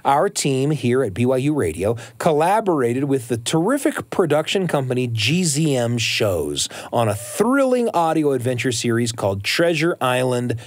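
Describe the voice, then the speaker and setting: A man's voice: mid-range voice, very animated, normal speed, hardly any pauses, no room sound. A man hosting a podcast in an earnest, reflective, conversational way, partly from a script. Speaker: podcast host.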